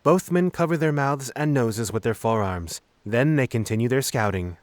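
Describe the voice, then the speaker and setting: A man's voice: mid-range voice, normal speed, dry recording. Dry-recorded male story narrator.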